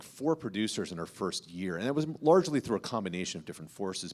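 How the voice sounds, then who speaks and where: A man's voice: on the low side, darkish tone. A man speaking as a podcast host on a panel, explaining things in an informal, emphatic way. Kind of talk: panel discussion talk, explanatory.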